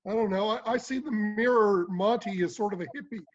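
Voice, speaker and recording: A man chatting casually on a live panel as a writer, measured and guarded in how he answers. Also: high voice, muffled recording, a little hiss or hum. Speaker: audio drama writer on a cast panel.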